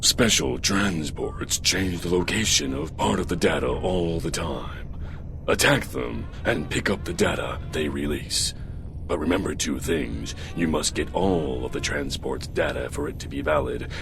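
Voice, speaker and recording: A man voicing a commanding, scheming robot newspaper boss in a video game, giving deliberate orders. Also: rumbling low, expressive, gravelly, over music, exaggerated voice. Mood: commanding, secretive. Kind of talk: video game character acting.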